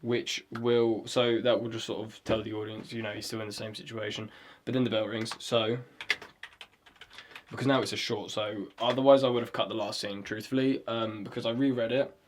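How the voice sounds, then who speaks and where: A man's voice: lowish voice, flat delivery, moderate pace, background noise. A man talking casually as he writes a script, rambling, swearing often and hunting aloud for the right word. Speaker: vlogger writing a script. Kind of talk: casual talk while writing.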